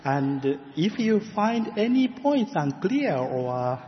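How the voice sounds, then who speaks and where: A middle-aged man from Japan with a Japanese accent, preaching a sermon as a guest in a church, measured and careful, with gentle laughs. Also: high-pitched, big pitch swings, noisy background. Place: church sanctuary. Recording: muffled sound with long church reverb.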